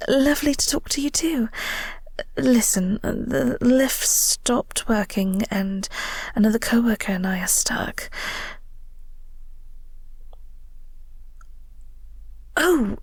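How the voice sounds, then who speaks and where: A woman playing a flirty, awkward vampire co-worker in an intimate audio roleplay, soft and breathy, with a hesitant, polite, coy manner. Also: fairly high pitch, measured pace, no room sound. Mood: charming, flirty.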